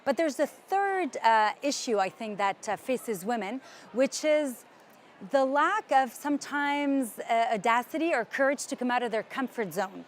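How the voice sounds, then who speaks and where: A middle-aged woman from Lebanon speaks on a conference panel, her voice pitched high. She tells her story with confidence and firm emphasis. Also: animated, unhurried, slight background noise. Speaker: panel guest, founder of a nonprofit.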